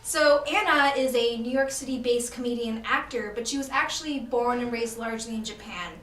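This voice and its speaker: A woman with a somewhat high voice hosts an interview show and keeps the tone upbeat. The studio rings a little, and the mic sits some distance from her. Faint room noise is there too. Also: fairly quick, fairly bright tone, roomy sound. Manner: upbeat, introduces and asks questions. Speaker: show host. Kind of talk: casual interview chat.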